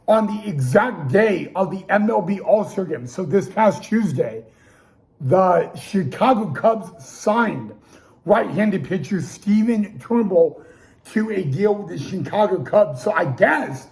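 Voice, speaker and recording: A man's voice: high voice, animated, slow pace, gravelly, darkish tone. A young man hosting a casual sports talk show, losing his voice and restarting often with stammered repeats. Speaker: podcast host.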